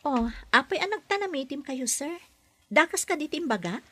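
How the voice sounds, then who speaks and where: A woman playing a patient nanny and housemaid in a radio drama, gentle and politely deferential. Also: high voice, big pitch swings, fairly quick, full character voice.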